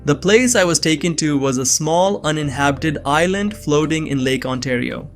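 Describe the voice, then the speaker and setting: A man's voice: a little high, moderate pace, soft background music. A young man giving earnest, scripted first-person narration of a suspense story. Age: young adult.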